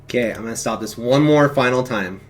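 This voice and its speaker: A man's voice: medium pitch, fairly quick. A man hosting a film watch-along stream, talking in a casual, jokey, informal way. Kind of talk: casual chat and film commentary.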